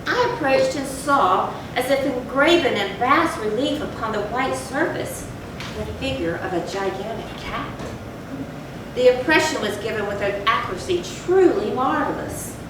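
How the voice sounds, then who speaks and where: A woman playing a guilt-tormented narrator of a gothic horror tale in a deliberate, theatrical stage recitation. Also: animated, lightly acted. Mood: awed, uneasy. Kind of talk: dramatic readers-theatre recitation of a gothic story. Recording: very echoey stage, distant mic, noisy rumble.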